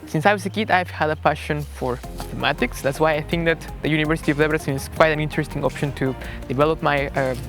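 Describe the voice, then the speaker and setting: A man's voice: a little high, fast, few pauses, over music. A young man from Peru, a math student speaking eagerly. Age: young adult. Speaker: mathematics student.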